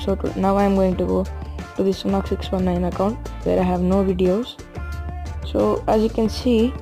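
Hushed, creaky young woman giving a tutorial. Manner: hushed, low-key late-night delivery. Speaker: gaming channel host. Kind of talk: casual phone tutorial.

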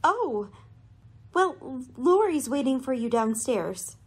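A sweet, anxious young sister in a radio play, played by a woman. Her voice is high and animated, and she speaks slowly with concern. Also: husky, heavily acted. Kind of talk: radio drama acting.

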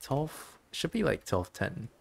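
A streamer giving casual, chatty commentary while playing a game, musing out loud in an informal way. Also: medium pitch, very animated, slow pace, gravelly, slight background noise. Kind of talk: casual game livestream commentary, reading dialogue aloud.

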